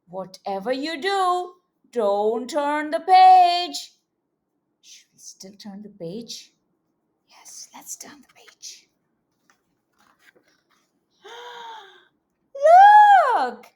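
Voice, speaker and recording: Lady doing an animated read-aloud for little kids, really slow, big swoopy pitch, asks and repeats stuff like a teacher. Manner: animated, exclaiming, with coaxing questions and repetition. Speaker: children's storybook reader and teacher. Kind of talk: interactive read-aloud for young children.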